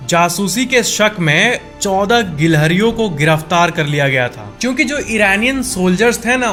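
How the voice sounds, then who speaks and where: Male facts presenter, high-pitched, conversational explainer delivery addressed straight to the viewer, background music. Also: a bit bright. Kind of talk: informal explanatory voice-over narration.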